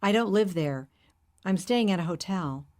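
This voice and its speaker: A woman's voice: mid-range voice, lively intonation, normal speed, darkish tone, close to an everyday voice. A woman voicing an exhausted, apologetic man who is lost and far from home. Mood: weary. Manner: weary, apologetic. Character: exhausted, lost middle-aged European man.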